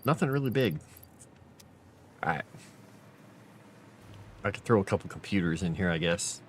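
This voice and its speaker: Tired male streamer, lively pitch swings, thinks aloud, faint hum behind. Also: moderate pace. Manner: thinking aloud, rambling, chatty with viewers. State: tired, fading late at night. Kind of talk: casual gameplay live stream commentary.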